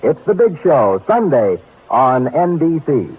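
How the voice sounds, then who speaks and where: A man's voice: on the high side, big pitch swings, a little slow, very noisy. A man announcing promos and credits in a polished, formal 1950s radio style. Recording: tinny old 1950s radio recording.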